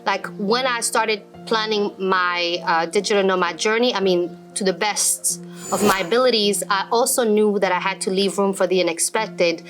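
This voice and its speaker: A woman's voice: mid-range voice, normal speed, music in the background. A woman in her thirties who grew up in Belgium, telling her own story unscripted and speaking directly to viewers with advice. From Belgium (born in Morocco). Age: 30s. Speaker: travel vlogger and business coach. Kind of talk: unscripted personal story to camera.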